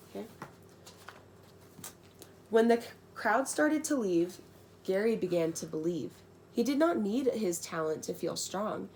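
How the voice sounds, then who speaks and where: Slow-paced young woman reading a storybook. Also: fairly low pitch, creaky, darkish tone, slight background noise. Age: young adult. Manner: informal, giggly asides, slangy. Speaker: storyteller reading an original children's book. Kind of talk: rhyming storybook read-aloud with casual joking asides.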